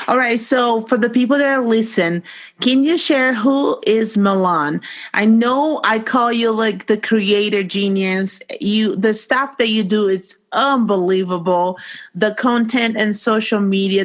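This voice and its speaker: A woman hosting a podcast interview, asking questions with enthusiasm. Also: medium pitch. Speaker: podcast host.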